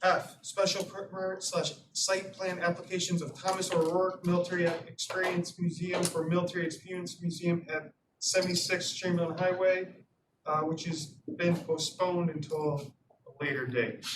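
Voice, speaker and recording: A man serving as commission secretary, reading out a formal legal notice. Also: on the high side. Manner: monotone, formal recitation. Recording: echoey room, distant mic, noisy.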